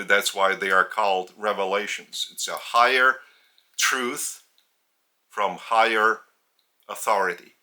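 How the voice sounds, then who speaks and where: A man with a Lithuanian accent, a native Lithuanian speaker, giving a spiritual teaching in a deliberate, halting way with emphasis on key words. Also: fairly low pitch, pushed and bright. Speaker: spiritual teacher and religious leader. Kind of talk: spiritual sermon addressed to camera. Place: indoors.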